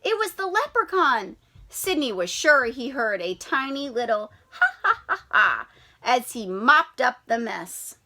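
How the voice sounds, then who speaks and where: A woman teacher reading a picture book aloud to young children. Her high, bright voice swoops up and down with lively expression. She pauses often to ask her listeners questions.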